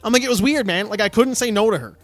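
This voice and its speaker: A man co-hosting a podcast, telling a story in casual chat, animated and full of fillers. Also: sits high, quick pace, soft background music. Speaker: podcast co-host.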